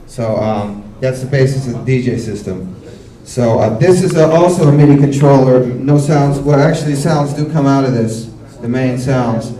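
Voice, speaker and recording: A relaxed man walks through his music gear at a workshop, rambling and dropping fillers. His voice is dark and mellow. It sounds roomy and picked up from some distance. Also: mid-range voice. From United States. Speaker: workshop guest musician and producer. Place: workshop room at a cultural venue. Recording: roomy venue, mic from far off.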